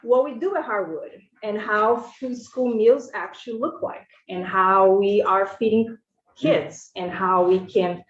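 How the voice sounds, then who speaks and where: A woman's voice: medium pitch, unhurried. Brazilian-accented woman testifying, echoey room. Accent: Brazilian Portuguese. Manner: informal, self-correcting, earnest. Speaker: school food service director testifying. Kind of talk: committee testimony. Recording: echoey, muffled hearing room audio.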